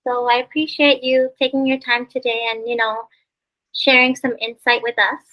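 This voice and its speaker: A bright, high woman's voice leads the interview in a chatty, friendly way. She fills her questions with "you know" and "like." She is the Canadian host of the show. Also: little pitch movement, normal speed, low-quality recording. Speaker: podcast host. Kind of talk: interview hosting.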